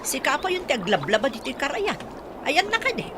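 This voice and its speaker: A woman's voice: high voice, big pitch swings, fairly quick, noisy background. A woman playing a nosy, gossipy neighbour in an audio drama. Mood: prying.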